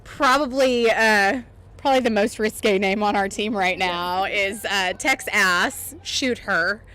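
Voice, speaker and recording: A woman's voice: medium pitch, a little slow, pushed and bright. A woman with a Southern US country accent, a roller derby skater, answering interview questions candidly and laughing often. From Southeast Missouri.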